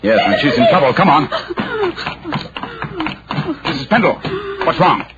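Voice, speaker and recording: A man playing a brisk, assured British gentleman detective in a 1940s radio drama, speaking in a precise, formal, theatrical way. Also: a little high, sing-song. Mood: urgent. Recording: dull, noisy 1940s radio recording.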